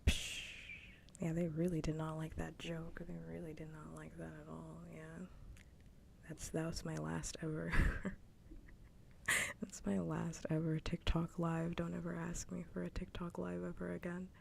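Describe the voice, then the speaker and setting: A woman streams ASMR in a soft whisper, low and gravelly at the edges. She talks casually and circles back over her phrases with little melodic movement. The close microphone carries a faint rumble behind her. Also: monotone, normal speed. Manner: casual, repetitive, mildly swearing. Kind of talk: whispered ASMR livestream chat.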